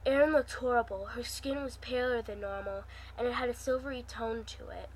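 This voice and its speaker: A woman's voice: on the high side, fairly even intonation, fairly quick, slight background noise, low-quality recording. A woman hosting her own channel, chatting informally and reading a fan story aloud in an amateur, casual way.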